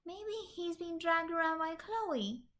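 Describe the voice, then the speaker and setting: A woman's voice: very high-pitched, lively intonation, takes it slowly, fairly bright tone, voice pushed up, full character voice. A woman voicing a tiny, chirpy female magical sprite in an amateur audio story. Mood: matter-of-fact.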